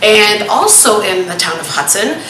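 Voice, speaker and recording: Woman resident at a public hearing: loud, bright, rambling and insistent, in an echoey meeting hall. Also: medium pitch, sing-song, moderate pace. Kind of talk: public comment at a town meeting. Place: town hall meeting room. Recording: echoey meeting room with light noise.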